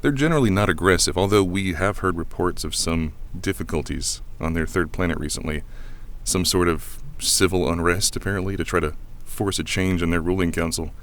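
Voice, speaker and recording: A man playing a reserved, seasoned alien pilot in a science fiction audio drama, with a measured, understated delivery. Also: deep voice, a bit bright.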